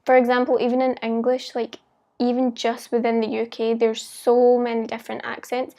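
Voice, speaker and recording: A woman's voice: fairly high pitch, completely flat delivery, moderate pace, dark tone. A young woman with a mild Scottish accent, chatting casually to viewers as a language-learning vlogger. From Scotland. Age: young adult.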